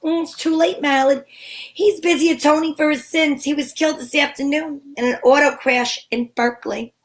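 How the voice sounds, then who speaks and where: Female gumshoe actress, high voice, cheeky and wisecracking, slangy noir delivery for vintage-style radio detective drama. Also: steady tone, normal speed, lightly acted. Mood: explaining, slangy. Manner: wry, slangy hardboiled delivery. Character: wisecracking female private eye, cheeky and flirtatious, narrating her case.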